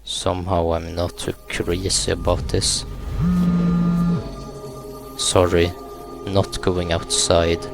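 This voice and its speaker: Male horror game narrator, deep and even-toned, measured reading of on-screen text, clear game music underneath. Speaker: gaming YouTuber reading a visual novel aloud. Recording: music behind the voice.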